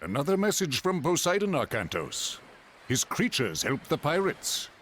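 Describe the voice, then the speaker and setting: A man's voice: on the high side, very animated, normal speed, a bit bright, a little hiss or hum. An actor playing a grave, pious statesman in a game cutscene, solemn and admonishing. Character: grave, pious Atlantean elder statesman, anxious and insistent. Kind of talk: dramatic video game cutscene acting.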